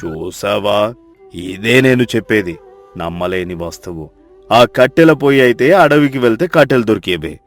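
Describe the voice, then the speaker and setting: A man voicing village characters in a children's story, with a deep, slow, scolding manner. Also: animated, faint music behind. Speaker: narrator voicing male characters.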